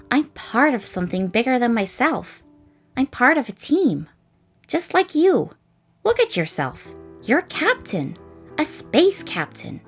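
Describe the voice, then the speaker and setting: A woman's voice: sits high, full character voice. A woman voicing a thoughtful, encouraging young woman in a comic dub of a space adventure.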